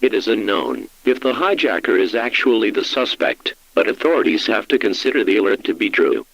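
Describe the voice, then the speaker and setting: A man's voice: fairly low pitch, expressive, a little fast, gravelly, a bit bright, no echo, dull audio, full character voice. A man voicing an impersonal, solemn emergency alert announcer, reading scripted official notices in a formal, instructional way.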